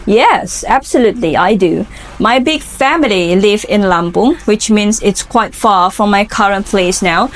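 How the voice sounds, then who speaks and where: A woman in her twenties with an Indonesian accent answering interview questions for an English speaking assignment, earnest and careful, pausing with fillers. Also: mid-range voice.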